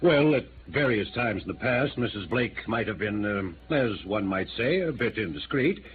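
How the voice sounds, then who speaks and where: A man's voice: on the low side, animated, normal speed, natural voice. A man playing a pompous, easily indignant small-town mayor in an old-time radio drama, formal and emphatic. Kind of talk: mid-century American radio drama acting. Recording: tinny, noisy vintage radio recording.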